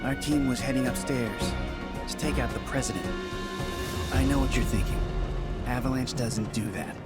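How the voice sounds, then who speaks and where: A man playing a haunted, fervent rebel fighter looking back, in dramatic game acting over loud music. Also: mid-range voice, moderate pace, lightly acted. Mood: haunted, defensive. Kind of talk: dramatic video game narration.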